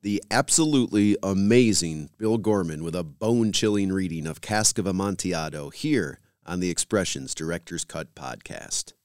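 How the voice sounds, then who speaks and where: Guy hosting a podcast, really low voice, lively swings, reading an intro. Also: moderate pace, hardly any pauses, clean tone, no room sound, studio quality, soft background music. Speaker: podcast host. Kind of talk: scripted introduction.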